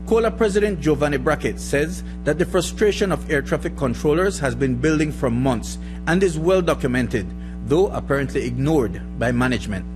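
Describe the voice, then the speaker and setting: A man's voice: a little high, expressive, normal speed. A man reading scripted news narration as a reporter. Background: music bed.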